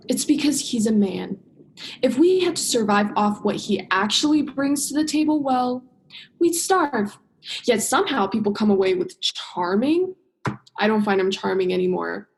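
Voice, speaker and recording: A woman's voice: on the high side, a little hiss or hum, rough recording. A woman drama student acting a bitter, sarcastic young female scientist in a radio play, sardonic and emphatic, stretching stressed words. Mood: scornful. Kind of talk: radio play monologue and dialogue.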